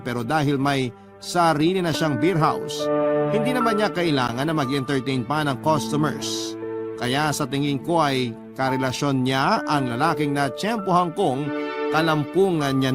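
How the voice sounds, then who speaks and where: A Filipino man reads a scripted, first-person drama as a radio storyteller. His voice sits a little high for a man, and loud music plays underneath it throughout. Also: animated, normal speed. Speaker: radio storyteller narrating a listener's letter. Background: loud background music.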